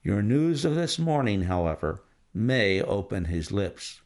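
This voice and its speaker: A man voicing a calm, stern male detective who speaks in a measured, authoritative way. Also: lowish voice, pitched below the performer's own voice.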